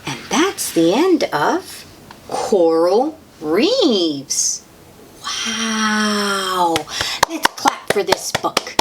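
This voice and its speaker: A woman's voice: medium pitch, very animated, very slow, noisy background. A woman reading a children's book aloud, adding simple comments and questions for young listeners in a child-directed, wondering manner. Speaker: host reading picture books aloud to children.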